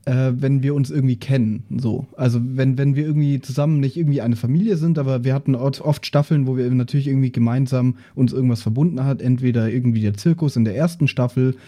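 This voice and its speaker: A man in casual podcast chat, explaining his thoughts in a halting, discursive way. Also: mid-range voice, steady tone, warm tone. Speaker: podcast player.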